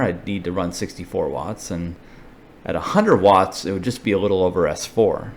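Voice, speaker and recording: A man presenting a hobby explainer video, talking casually and unscripted, thinking aloud as he works through technical points step by step. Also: mid-range voice.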